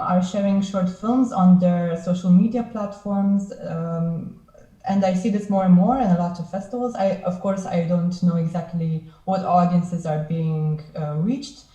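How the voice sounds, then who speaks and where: A woman talking casually and haltingly as a festival panelist, thinking aloud. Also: mid-range voice, mellow. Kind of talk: panel discussion, casual and unscripted. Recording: echoey, dull microphone sound.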